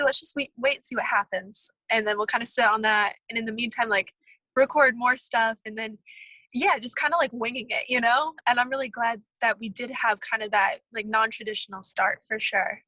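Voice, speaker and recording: A woman's voice: a little high, pushed and bright, no room sound, low-quality recording. A young woman in a band, chatting upbeat and casually in an interview. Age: young adult. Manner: chatty, upbeat, many fillers. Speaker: band member.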